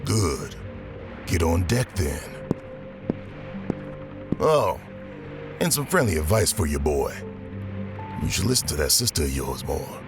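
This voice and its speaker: An actor playing a gruff, commanding pirate sailor with a terse, measured manner in an audio drama. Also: male voice, low voice, big pitch swings, over music, exaggerated voice. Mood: stern, advising.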